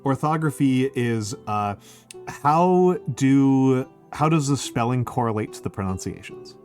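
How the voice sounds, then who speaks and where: A man's voice: mid-range voice, lively intonation, a little slow, darkish tone, soft background music. A man chatting casually on a stream while painting miniatures, often stumbling into repeated restarts before he gets a thought out. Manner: stammering restarts, wordy and self-deprecating.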